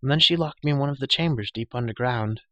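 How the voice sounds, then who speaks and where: A man plays a young girl of noble birth from a storybook, pitched well above a narrator's range. The girl prattles in a bossy, matter-of-fact way and is quick to scold. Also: a bit bright, no room sound, natural voice. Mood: chatty. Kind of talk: storybook character voice.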